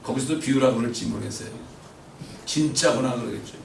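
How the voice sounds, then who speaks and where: A middle-aged man preaching a sermon, swinging between loud emphatic peaks and quiet asides and asking the congregation questions. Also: medium pitch, quick pace, gravelly, a little hiss or hum. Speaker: pastor. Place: church sanctuary. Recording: roomy church echo from far off.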